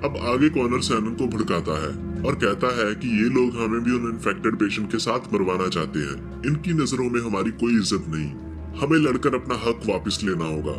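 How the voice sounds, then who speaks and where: A man reading a scripted film recap as a storytelling narrator. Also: lowish voice. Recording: loud music bed under voice-over. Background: background music.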